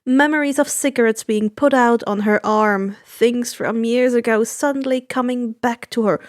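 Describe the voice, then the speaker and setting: High-pitched woman narrating a story. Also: fairly even intonation, normal speed, no echo, studio quality. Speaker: fan fiction reader. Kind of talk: expressive scripted story narration.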